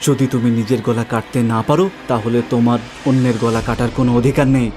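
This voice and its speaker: A man's voice: medium pitch, fairly even intonation, a little fast, over music, natural voice. A man voicing a bold, determined young husband in a cartoon ghost story, speaking in a declamatory way. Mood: challenging. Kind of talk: animated ghost story dialogue.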